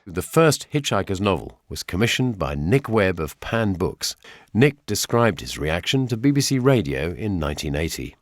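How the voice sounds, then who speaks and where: A man's voice: low-pitched, sing-song, moderate pace, few pauses, no echo, studio quality. A British man presenting a documentary, with narration and personal reminiscence.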